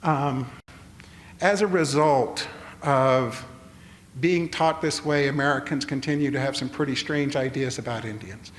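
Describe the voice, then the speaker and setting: Older guy giving a talk, lots of uh and um, echoey hall. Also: medium pitch. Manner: halting, many fillers and restarts. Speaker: lecturer. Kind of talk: public lecture. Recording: long hall reverb, faint noise.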